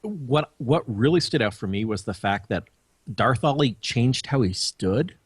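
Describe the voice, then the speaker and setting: Man, husky, podcast co-host, casual discussion, dry recording. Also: mid-range voice, expressive, unhurried, dry recording. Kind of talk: casual TV recap chat.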